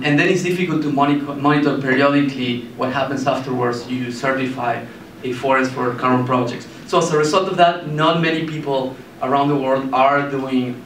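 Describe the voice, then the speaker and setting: A man from Argentina in his thirties, a startup founder giving a presentation with a handheld mic, speaking haltingly with many fillers and restarts. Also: mid-range voice, fairly bright tone. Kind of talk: presentation to an audience. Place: meeting room with a projector screen, indoors. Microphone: handheld mic, filmed by a far camera. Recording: echoey room, mic far off, faint hiss.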